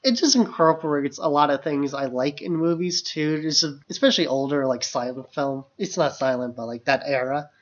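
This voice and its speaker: A man's voice: fairly high pitch, normal speed, few pauses. Loose-talking male podcaster, muffled lo-fi audio. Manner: loose, filler-heavy phrasing with frequent "like". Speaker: podcast co-host. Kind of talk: casual film discussion.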